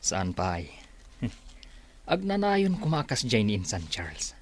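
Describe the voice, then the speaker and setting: A man's voice: on the low side, huge pitch swings, unhurried, exaggerated voice. An actor playing a gloating, sneering male schemer who covets his cousin's business in an audio drama.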